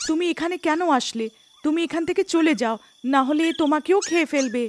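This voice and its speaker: Woman acting a high-pitched pleading captive wife. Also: brisk, a little hiss or hum, close to an everyday voice. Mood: desperate, pleading. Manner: pleading, emotional. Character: captive village wife, frightened, pleading, devoted to her dog. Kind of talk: cartoon character acting.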